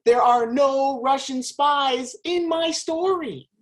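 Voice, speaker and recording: A woman's voice: high voice, slow pace. A woman playing a fed-up playwright complaining about her story going off track, in an amateur stage play. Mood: exasperated. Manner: exasperated, complaining. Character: exasperated playwright. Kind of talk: amateur theatre acting.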